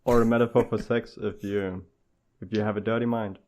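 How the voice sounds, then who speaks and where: A man's voice: fairly low pitch, steady tone, fairly quick, darkish tone. A man co-hosting a podcast, chatting casually and chipping in with questions and quips. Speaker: podcast co-host.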